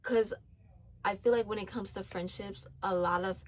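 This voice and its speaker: A woman's voice: medium pitch, steady tone, moderate pace. A twenty-year-old woman giving casual faith-based advice, earnest, with many ums, restarts and repeated phrases. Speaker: faith vlogger.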